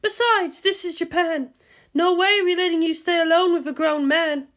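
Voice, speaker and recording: A woman's voice: very high voice, fairly even intonation, normal speed, a bit bright, pitched above the performer's own voice. A woman voicing an exasperated, bossy but friendly female guardian in a story, with emphatic, insistent delivery.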